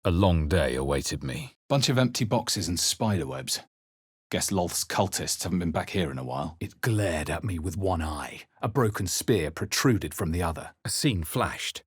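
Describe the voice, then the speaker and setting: An actor playing a grave, weary and observant adventurer in a video game, speaking in a measured, dramatic, husky way. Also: male voice, low voice, expressive, dry recording, studio quality. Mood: wary. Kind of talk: scripted video game narration and dialogue.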